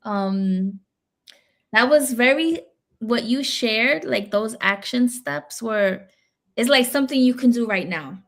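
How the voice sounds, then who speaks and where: Woman, podcast host, playful, fairly high pitch. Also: animated, slow. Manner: warm, playful, lots of listening sounds. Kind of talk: casual interview chat.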